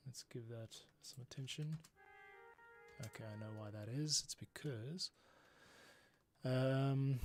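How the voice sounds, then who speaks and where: A man thinking out loud in a halting mutter as he works through a music composing livestream. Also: mid-range voice, slight background noise. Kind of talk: thinking aloud while working, screen-share tutorial stream. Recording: orchestral music playing over voice. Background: orchestral music playback.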